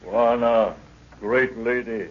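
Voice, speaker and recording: An actor playing a fierce, loyal African servant who speaks terse broken English, in old radio serial style. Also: male voice, mid-range voice, slow pace, lightly acted. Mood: obedient, solemn. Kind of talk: 1930s-40s radio serial acting. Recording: muffled, noisy old radio recording.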